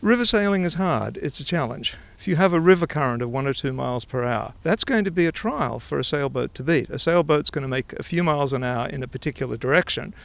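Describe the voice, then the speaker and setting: A man's voice: a little high, lively intonation, quick pace, vocal fry, hardly any pauses, fairly bright tone. An Australian man in his forties, talking casually and eagerly about river sailing outdoors at a dock, often restarting his sentences. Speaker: interviewee, river sailor.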